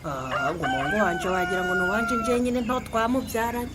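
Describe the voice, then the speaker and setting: Sharp-tongued village mother played by a woman, sarcastic banter, fast and very expressive, noisy chickens behind. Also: medium pitch, lightly acted. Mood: mocking. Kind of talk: radio drama dialogue, animated.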